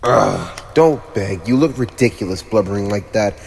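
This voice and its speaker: A man's voice: fairly low pitch, expressive, creaky, darkish tone, slightly rough, heavily acted. A man voicing a haughty, teasing teenage demon lord with a playfully cruel streak, in an ASMR roleplay addressed to the listener. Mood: bored, dismissive. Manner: haughty, teasing, theatrical, with drawn-out pauses. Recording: long reverb, audible background noise.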